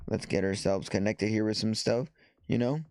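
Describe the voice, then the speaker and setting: A man with a congested, stuffy nose talks through softball results and headlines in a halting way, stopping for fillers and restarts. His voice is smooth and even, and it sounds dry and close, with no room around it. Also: fairly low pitch, no echo. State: stuffy nose after the flu. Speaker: softball journalist hosting a show. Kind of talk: casual commentary and reading news aloud.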